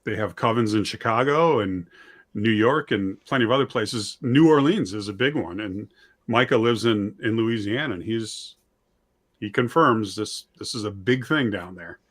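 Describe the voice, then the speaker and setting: A man talking in a casual discussion, conversational and halting, telling things as anecdotes. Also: lowish voice, a bit bright.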